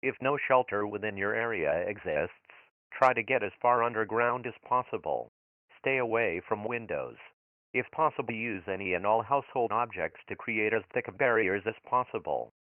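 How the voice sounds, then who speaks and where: A stern male emergency announcer, voiced by a man, reads out national alert instructions in a flat, formal, measured manner. His voice sits fairly low. The sound is thin and phone-like, with a dry, close quality. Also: no echo, lightly acted. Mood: urgent. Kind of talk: scripted emergency alert reading. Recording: tinny phone-like dry audio.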